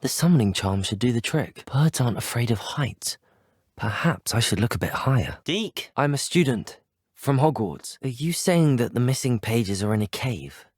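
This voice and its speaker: An actor voicing a polite, curious teenage student wizard in a video game, with a British accent and a courteous, earnest, well-spoken manner. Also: male voice, mid-range voice, animated, moderate pace, slightly rough, dry recording, studio quality. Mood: musing, then curious, willing. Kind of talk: video game character voice lines.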